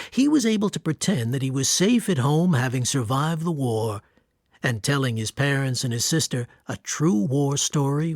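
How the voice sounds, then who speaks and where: A man narrating a radio drama from a script in a measured, wry, literary way. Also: medium pitch, dry recording, clean studio sound.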